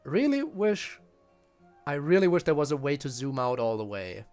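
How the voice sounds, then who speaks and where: A man's voice: a little high, wildly swooping pitch, normal speed, a little mellow, clean tone, faint music behind. A man doing casual gameplay commentary as a streamer, blunt, grumbling and digressive. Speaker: game streamer.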